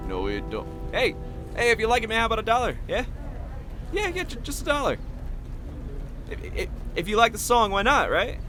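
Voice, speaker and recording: Man acting an eager, halting street busker over music. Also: high voice, big pitch swings. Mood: pleading. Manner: halting, stammering, folksy. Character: eager, pleading male street busker begging for a dollar. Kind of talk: audio drama acting. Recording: music behind the voice.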